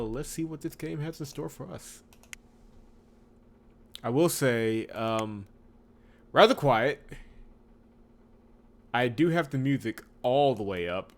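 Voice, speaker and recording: Expressive man doing casual let's-play commentary. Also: medium pitch. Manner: chatty, expressive, wry asides, laughs through speech. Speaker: host of a visual novel playthrough channel.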